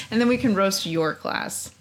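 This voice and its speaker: A woman in her thirties co-hosting a podcast, chatty and teasing, quick to laugh. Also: mid-range voice, a little hiss or hum. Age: 30s. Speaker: podcast co-host. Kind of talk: casual chat.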